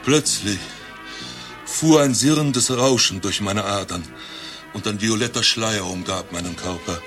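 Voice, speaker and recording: An actor playing an eccentric doctor who claims to be Rasputin reborn, telling his tale in a deadpan, grandiose way in a satirical radio play. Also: fairly low pitch, measured pace, music in the background, lightly acted. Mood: grandiose, storytelling. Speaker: doctor recounting his past life.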